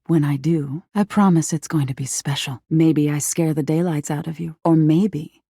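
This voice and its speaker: Breathy, dark-toned nightmare spirit. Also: female voice, sits low, fairly even intonation, normal speed, dry recording, clean studio sound. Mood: tender, teasing. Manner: breathy, teasing, often dropping into whispers. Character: female living nightmare spirit, flirty, menacing, secretly insecure. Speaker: voice actor in a dating simulator game. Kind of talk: intimate theatrical video game acting.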